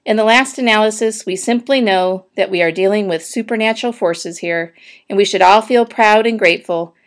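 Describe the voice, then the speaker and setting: A pompous, booming fairground announcer, as a woman reader puts him on, grand and self-important. He rolls out every phrase with official ceremony from a storybook. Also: moderate pace, natural voice. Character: pompous male fair loudspeaker announcer.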